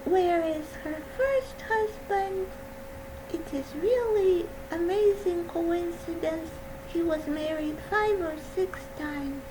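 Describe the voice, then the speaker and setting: Soft-spoken woman, super high voice, talks real slow, steady hum under it. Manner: gentle, earnest storytelling. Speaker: mental health speaker. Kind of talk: personal talk.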